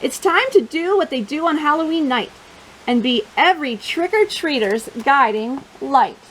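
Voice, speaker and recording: A woman hosting storytime for young children, reading and chatting in simple, expressive phrases. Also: sits high, moderate pace, a little hiss or hum, rough recording.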